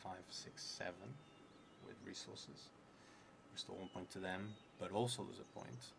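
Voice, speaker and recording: Man in his thirties, low gravelly voice, halting solo roleplay narration, murmured asides, noticeable background noise. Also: fairly even intonation. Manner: halting, musing, trailing into murmurs. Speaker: solo tabletop roleplayer streaming. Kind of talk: thinking aloud while playing and reading out a solo roleplaying game.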